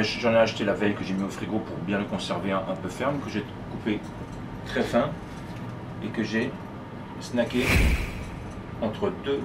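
A middle-aged man with a fairly low voice explains a recipe step by step. He talks informally, like a chef busy with his hands. The restaurant kitchen around him is loud, with clattering dishes and pans. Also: heavy background noise. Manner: informal, talking while working with his hands. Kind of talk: casual step-by-step cooking demonstration. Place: professional restaurant kitchen, tiled, indoors. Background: loud kitchen ambience, clattering pans and cutlery.

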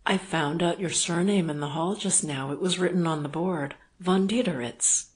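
A woman reading an audiobook, voicing a weary, restrained gentleman who speaks softly. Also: moderate pace, natural voice. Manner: soft, low, restrained. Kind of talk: audiobook character voice.

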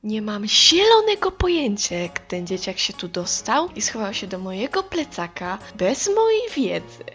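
Blunt cartoon monster schoolgirl, female actor, sarcastic and brusque, animated intonation, music behind. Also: medium pitch, moderate pace. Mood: gruff, exasperated. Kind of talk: fan-dub comic acting.

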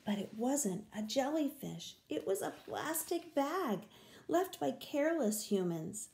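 Older woman reading slowly to children. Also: high-pitched, sing-song, creaky, a little mellow, roomy sound. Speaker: read-aloud storyteller. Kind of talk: children's picture-book reading. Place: small room at home, indoors.

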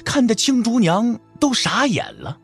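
Very animated, husky male storyteller. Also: extremely high pitch, measured pace, faint music behind. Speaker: audiobook narrator. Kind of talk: dramatic scripted storytelling narration.

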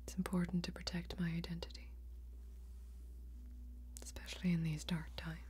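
A young woman playing a guarded, secretive female warrior ranger in a fantasy ASMR role-play, speaking slowly and intimately in a soft, breathy whisper. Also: on the low side, level tone, close to an everyday voice. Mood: guarded, solemn. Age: young adult. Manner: slow, deliberate, intimate.

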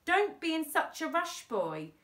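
A woman's voice: very high-pitched, very animated, unhurried, fairly bright tone, pitched above the performer's own voice, heavily acted. A woman voicing a menacing, sly male henchman in a children's storybook, taunting and mock-polite. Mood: sly, threatening. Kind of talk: children's storybook character reading. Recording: roomy, echoey indoor sound.

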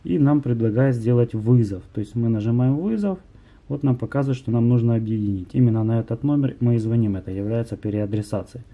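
A man's voice: mid-range voice, fast talker, dull audio. A young man narrating a tutorial for viewers as a channel host, explaining things in an informal way. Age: young adult. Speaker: host of a phone tips channel.

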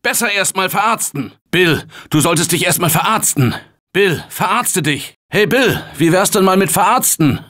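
A man voicing an upbeat office-worker survivor in a video game dub, shouting calls and encouragement to his teammates in an excitable manner. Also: high voice, normal speed. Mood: coaxing. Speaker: game character. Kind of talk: German-dubbed video game voice lines.

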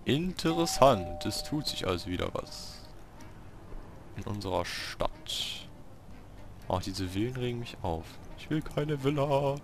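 A man giving casual gameplay commentary, talking to himself in short, halting remarks. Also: medium pitch, animated, vocal fry, over music. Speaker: let's player hosting a city-building game series.

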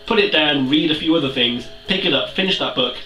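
A man's voice: on the high side, brisk, sharp tone, far from the mic, faint music behind. A man in his twenties with a Welsh accent, chatting animatedly and self-mockingly in a casual book review vlog. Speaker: book vlogger. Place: small room with bookshelves, indoors. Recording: roomy echo, mic set back.

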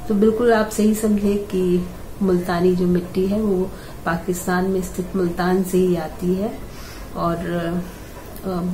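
An older woman with a hoarse, scratchy throat talks slowly and warmly, like a friendly home-remedy host. She hesitates often and clears her throat now and then. The voice sits in a roomy, echoey space with faint background hum. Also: lowish voice, little pitch movement. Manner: unhurried, chatty, frequent hesitations and throat clearing. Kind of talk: casual home-remedy tutorial. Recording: echoey room with faint ambient noise.

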